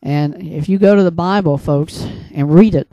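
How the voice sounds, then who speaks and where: An American man delivering a religious and political commentary monologue in an earnest, admonishing, halting way, often repeating his phrases. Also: a little high, warm tone, no room sound, dull audio. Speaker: broadcaster.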